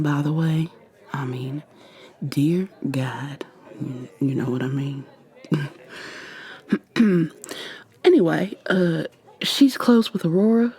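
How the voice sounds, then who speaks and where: A woman with an American accent playing a playful, flirty modern witch in an intimate ASMR roleplay, speaking softly and teasingly to the listener. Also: low voice, husky, full character voice. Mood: smitten, playful. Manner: teasing, affectionate, conversational with trailing pauses. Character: playful, flirty modern witch mentoring a new coven member.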